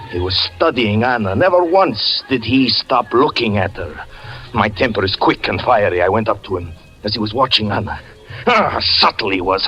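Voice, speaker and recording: A man's voice: on the low side, bright, forceful tone. An actor playing a jealous, haunted fortune-teller who narrates his own doom in a grave, theatrical 1940s radio drama style. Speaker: narrator and lead character. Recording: lo-fi old radio recording, faint music.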